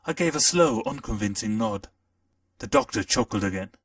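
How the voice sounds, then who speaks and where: A man reading a first-person audiobook story with a lively, swooping delivery and a gravelly edge to his voice. He sounds set back from the mic, with faint music playing behind. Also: medium pitch, normal speed, dry recording, clean studio sound, distant mic. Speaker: audiobook narrator.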